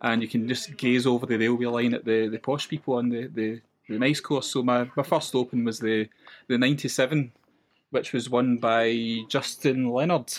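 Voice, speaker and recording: A man's voice: mid-range voice, steady tone, moderate pace. A man in his thirties with a Scottish accent, a podcast guest chatting casually and reminiscing with restarts. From west coast of Scotland. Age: 30s. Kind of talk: casual chat.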